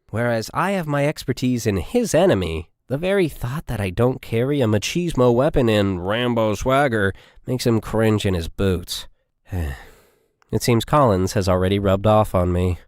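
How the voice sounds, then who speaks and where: Low-pitched man narrating a story. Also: no echo, clean studio sound. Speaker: audiobook narrator. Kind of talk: scripted military sci-fi story narration.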